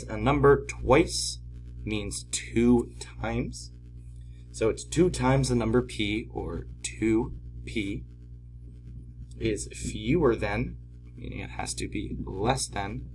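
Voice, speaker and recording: A man teaching in an informal tutorial style, patiently explaining step by step, his voice slightly hoarse from a cold. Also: lowish voice, takes it slowly, a little mellow, soft background music, poor audio. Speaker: math teacher. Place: at home, at a desk.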